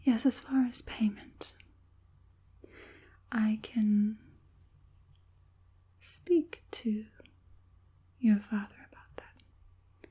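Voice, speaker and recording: An evil witch puppet master, played by a woman, speaks in a very breathy, hushed ASMR whisper. She is slow and deliberate, with a soft, dark tone. Her manner is polite and doting, yet proud and quietly controlling. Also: a little high, steady tone, exaggerated voice. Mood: polite, soothing. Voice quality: breathy whisper with occasional soft voiced words. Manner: unhurried, polite, hushed, deliberate with repeated affirmations.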